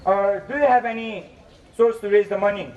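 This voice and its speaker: A man's voice: high-pitched, fairly even intonation, moderate pace, darkish tone, highs cut off, lightly acted. A young man in a school stage play acting a bitter, menacing moneylender who speaks with insistent threats. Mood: coldly threatening. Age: young adult. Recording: distant mic, faint background noise.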